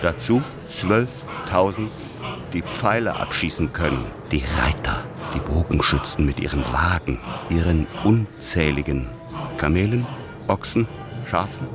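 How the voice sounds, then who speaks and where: Grave war chronicler, extremely deep voice, solemn halting phrases, radio play, loud music behind. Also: male voice, animated. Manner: halting, broken into short phrases. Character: grave, weighty male chronicler of an ancient war. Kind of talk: solemn radio drama narration.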